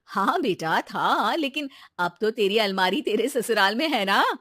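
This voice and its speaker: A woman voicing a middle-aged mother in a story, advising her daughter in a gently admonishing, motherly way. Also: on the high side, expressive, measured pace. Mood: soothing. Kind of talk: animated Hindi moral story dialogue.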